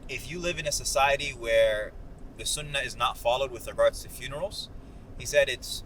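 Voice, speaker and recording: A man's voice: mid-range voice, pushed and bright, rough recording. A man giving casual, slangy explanations of religious matters as a vlog host, talking inside a car. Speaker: host of a ride-along video. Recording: clear car and outdoor noise. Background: car and road noise.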